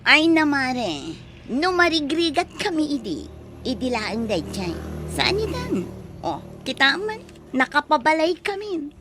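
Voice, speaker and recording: A woman's voice: high voice, sing-song, a little slow, sharp tone, full character voice. A woman playing a long-suffering, plaintive village wife and mother with an Ilocano accent in an audio drama. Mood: aggrieved. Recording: clearly noisy ambient background.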